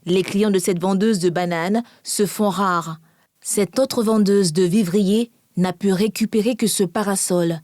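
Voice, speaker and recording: A woman's voice: medium pitch, fairly even intonation, measured pace, a little mellow, no echo. Female reporter in a dry studio sound. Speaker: news reporter. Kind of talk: scripted report narration.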